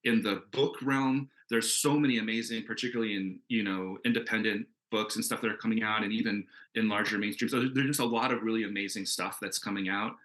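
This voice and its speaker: Bright, forceful, low-pitched man. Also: fairly even intonation, brisk, few pauses. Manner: earnest, rambling, enthusiastic. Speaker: comics creator, panel guest. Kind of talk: casual panel Q&A talk.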